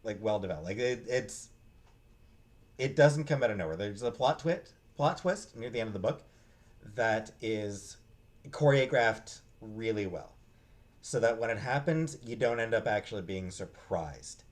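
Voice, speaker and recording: Male vlog reviewer, fairly low voice, informal and off the cuff, halting delivery with frequent restarts. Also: lively intonation. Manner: halting, hesitant, trailing off and restarting. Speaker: book reviewer, vlog host. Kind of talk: casual unscripted book review chat.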